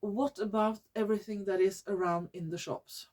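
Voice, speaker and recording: A woman from Norway with a Norwegian accent, hosting her own vlog in English, which is not her first language, often pausing to search for words and restarting her sentences. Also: mid-range voice, fairly even intonation, darkish tone. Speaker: YouTube channel host. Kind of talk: casual vlog monologue.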